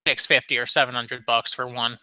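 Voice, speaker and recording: A man's voice: mid-range voice, level tone, fairly quick, bright, forceful tone, clean tone, dry recording. A man chatting casually as a podcast co-host, rambling along with frequent ums and restarts. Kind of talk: casual chat about firearms.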